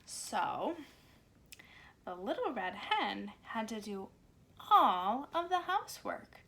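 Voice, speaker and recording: A woman's voice: mid-range voice, sing-song, takes it slowly, sharp tone. A woman reading a storybook aloud to young children, expressively and with simple explanations, in a home recording. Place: cozy corner at home. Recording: faint background noise, home recording.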